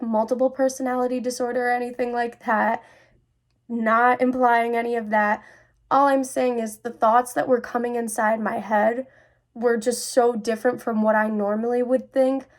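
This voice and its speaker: A woman's voice: on the high side, creaky, a little mellow. A young adult woman speaking earnestly and confidingly, as a host sharing personal experiences. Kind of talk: personal talk to camera about mental health.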